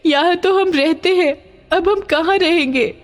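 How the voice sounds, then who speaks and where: Woman voicing a high-pitched, pleading poor village girl. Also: unhurried. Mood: distressed, pleading. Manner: pleading, emotional. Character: distressed orphan village girl, elder sister, caring. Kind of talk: animated moral story voice acting.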